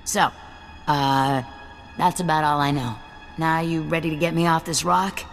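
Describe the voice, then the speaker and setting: A streetwise female shuttle pilot in a video game, nervy and self-protective. Her voice is on the low side and rings in a long reverb, with music underneath. She talks casually and stumbles over words as she bargains. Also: steady tone, unhurried. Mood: wry, grim. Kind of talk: video game cutscene dialogue.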